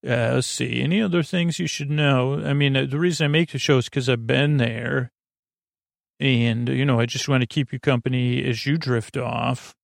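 A man hosting a bedtime podcast in a meandering, hesitant monologue, often interrupting himself, with a gravelly, creaky voice. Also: mid-range voice, fairly even intonation, normal speed, no echo, clean studio sound. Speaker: podcast host.

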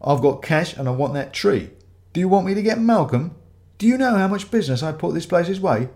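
Man putting on a loud, bullying builder. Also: sits high, very animated, a little fast, pitched above the performer's own voice. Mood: menacing. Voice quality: loud, blustering. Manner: brash, demanding, colloquial. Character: loud, bullying male builder, boastful and menacing.

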